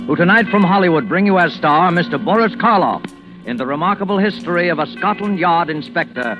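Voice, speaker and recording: A man hosting a 1940s radio mystery with ominous, deliberate theatrical delivery, on a dull old recording with music. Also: high-pitched, expressive. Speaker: radio show host and announcer.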